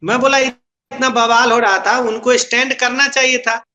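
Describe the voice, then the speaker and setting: High, bright-voiced man arguing politics. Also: fairly even intonation, moderate pace. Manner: argumentative, explanatory, switches into English phrases. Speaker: political interviewee, party spokesman. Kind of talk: political interview, opinion and anecdote.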